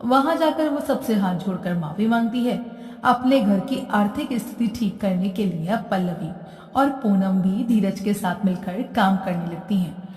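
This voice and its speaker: A woman's voice: mid-range voice, normal speed, darkish tone. A woman narrating a moral cartoon story in a plain storytelling manner. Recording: roomy echo, distant from mic.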